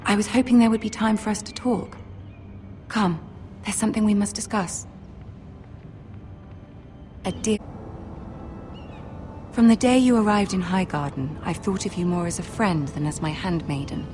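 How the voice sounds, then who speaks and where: A woman playing a poised, gracious young noblewoman soon to be queen in a fantasy game drama, measured and formal. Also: medium pitch, a little mellow, slight background noise, lightly acted. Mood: gracious, sympathetic. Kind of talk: video game drama acting.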